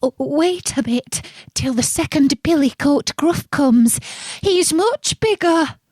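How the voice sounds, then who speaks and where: A woman voicing the youngest billy goat, small and timid, with a small, high, pleading voice. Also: expressive, slow, a little mellow, no room sound, studio quality. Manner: pleading, squeaky.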